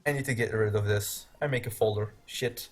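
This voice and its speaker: Belgian man, Dutch first language, relaxed game stream host, a bit husky, thinks out loud. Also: on the low side, a little fast, soft background music. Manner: rambling, laughs often, thinks aloud. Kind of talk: casual game tutorial chat.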